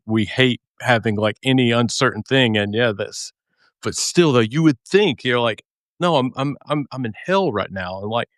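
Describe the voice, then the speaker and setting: A man hosting a podcast interview, animated and quick to react. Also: mid-range voice, no echo. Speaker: podcast host.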